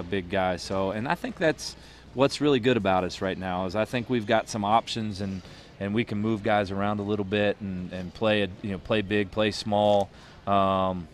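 Low-voiced coach, halting and thoughtful. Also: male voice, slight background noise. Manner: thoughtful, rambling with many restarts and fillers. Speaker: head basketball coach. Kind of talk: postgame interview. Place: small studio booth with a logo backdrop. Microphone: headset mic.

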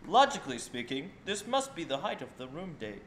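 Cheeky anime schoolboy, played by a man: brash, bright, animated, amateur comic dub, slight noise. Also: fairly high pitch, a little fast. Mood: smug. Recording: faint background noise.

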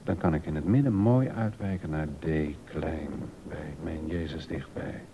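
An actor playing an absorbed middle-aged male composer in a drama, musing haltingly and correcting himself as he thinks aloud. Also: expressive, mellow. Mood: pensive, working. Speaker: actor in a docudrama. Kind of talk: Dutch radio drama acting, thinking aloud. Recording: dull lo-fi sound with rumbling noise.

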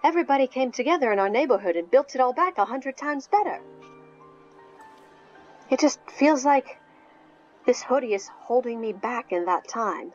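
Earnest unsure anime schoolgirl, highish voice, slightly dull game audio, music under. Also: lively intonation, normal speed, long pauses. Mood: wistful. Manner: hesitant, earnest. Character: earnest, self-doubting, warm-hearted teenage magical girl. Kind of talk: visual novel game acting.

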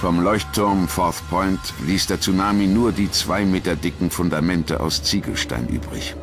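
A man's voice: deep voice, moderate pace, over music. A man reading scripted documentary narration as the narrator.

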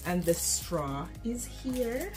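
A woman's voice: a little high, sing-song, extremely slow, music in the background. A young woman chatting candidly in a haul vlog, rambling through asides as she unboxes things in her bedroom. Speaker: vlogger. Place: bedroom, indoors.

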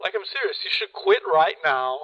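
A man's voice: sits high, lively intonation, brisk, sharp tone, highs cut off, full character voice. A man playing an exasperated, nagging friend in a darkly comedic audio drama, badgering in disbelief. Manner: incredulous, profane badgering.

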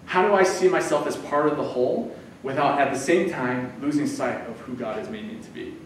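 A man's voice: mid-range voice, brisk, slight background noise. A man in his thirties gives a conversational sermon as a guest preacher, using his hands as he talks. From United States. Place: church sanctuary, indoors. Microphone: far camera. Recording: echoey church hall from far off.

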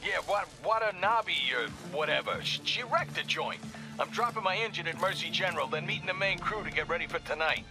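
An actor playing a pushy, rough male street thug calling over the phone in a video game. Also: sits high, a little fast, over music. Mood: bossy. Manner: pushy, rough, stumbling speech.

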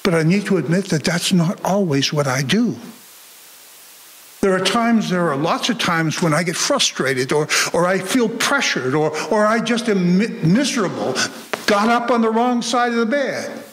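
A man's voice: sits high, animated, moderate pace, a bit bright, poor audio. An older man in his seventies, a Lutheran pastor preaching a sermon earnestly in phrases that often repeat a word. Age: older, about 70s. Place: church sanctuary, indoors. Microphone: gooseneck lectern microphone.

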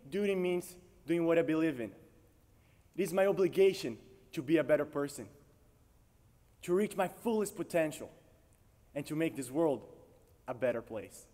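A man's voice: fairly high pitch, animated, normal speed, pauses often, a little mellow. A teenage male cadet from Brazil reciting a formal speech on a stage, projecting his voice. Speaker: military academy cadet. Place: large stage hall. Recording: long hall reverb, distant mic.

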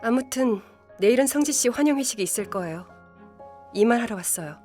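A woman's voice: fairly high pitch, animated, a little fast, darkish tone, soft background music, close to an everyday voice. An actress playing a curt but secretly kind office team leader in her thirties in a Korean audio drama. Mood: brusquely caring.

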